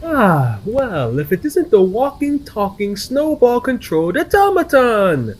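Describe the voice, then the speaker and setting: A man's voice: high-pitched, huge pitch swings, unhurried, pitched above the performer's own voice, exaggerated voice. A man voicing a sly, cheerful trickster god in a story, with theatrical, sneering mock pity. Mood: mocking, gleeful.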